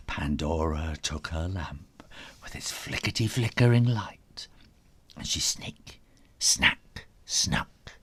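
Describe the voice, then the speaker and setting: A low-voiced man performs a children's story with great drama and very slow pacing. He draws words out for suspense, often sinking toward a hushed whisper, and his pitch swings widely with a gravelly creak. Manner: theatrical, suspenseful, drawn-out words and whispered asides; playful onomatopoeia and repetition. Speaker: author reading own children's story.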